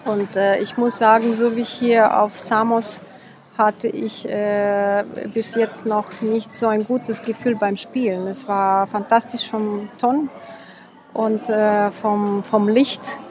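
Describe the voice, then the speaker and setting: A woman's voice: medium pitch, flat delivery, slow pace, a little mellow. A woman in her thirties from Minsk in Belarus, a musician speaking hesitantly in a casual interview, searching for words. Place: outdoors in the evening. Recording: music behind the voice.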